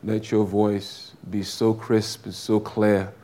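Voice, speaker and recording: Slow, deep-voiced booming preacher man. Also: little pitch movement, warm tone. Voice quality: big booming voice. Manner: slow, drawn-out, fervent delivery with repeated phrases. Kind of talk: sermon with prayer.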